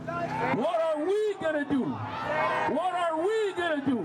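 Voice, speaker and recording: A man's voice: very high voice, big pitch swings. A man giving an emphatic, repetitive speech at an outdoor rally. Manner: emphatic, repetitive, slow phrasing. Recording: loud outdoor crowd and street noise.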